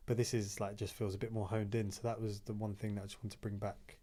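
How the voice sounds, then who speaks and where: A mellow-toned man talks casually and keeps agreeing with the others. His easygoing manner fits a relaxed guest spot. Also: fairly low pitch, slight background noise. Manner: laid-back, agreeable, quick to back others up. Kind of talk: casual chat.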